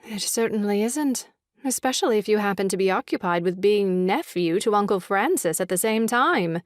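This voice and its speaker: An actress playing a brisk, earnest and slightly superior young woman in a theatrical audio farce. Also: mid-range voice, lively intonation, no echo, clean studio sound, natural voice. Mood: dry sympathy. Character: brisk, opinionated young Englishwoman, sister of new father.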